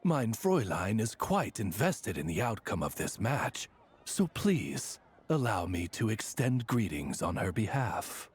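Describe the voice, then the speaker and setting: A man's voice: lowish voice, sing-song, a little slow. A man voicing a dignified raven familiar in a video game, formal and courteous like a butler. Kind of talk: video game character dialogue. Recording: music playing behind.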